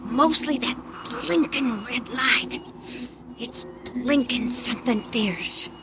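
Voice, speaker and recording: High-pitched, headstrong old woman. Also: slow, background noise. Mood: curious, tense. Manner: impatient, stubborn, plain folksy talk. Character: headstrong, bold, curious old woman with a twisted ankle. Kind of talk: radio drama acting.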